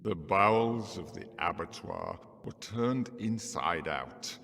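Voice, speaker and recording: An actor playing a grim, world-weary healer in a video game, brooding and dramatic, fatalistic yet defiant. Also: male voice, low voice, expressive, slow, fairly bright tone, exaggerated voice. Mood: grave. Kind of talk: video game cutscene acting. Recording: long hall reverb.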